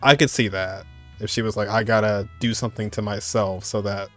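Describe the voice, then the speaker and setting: Gaming commentator, male, lowish voice, casual and reactive, thinking aloud over faint music. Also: steady tone, fairly quick, fairly bright tone. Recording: faint game music behind. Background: game music.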